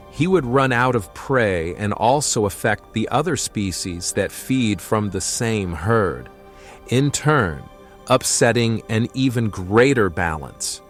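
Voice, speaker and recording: A man voicing a calm, courteous young mystic in a fantasy audiobook, speaking in a measured, formal and philosophical manner. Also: on the low side. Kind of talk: audiobook fantasy character acting.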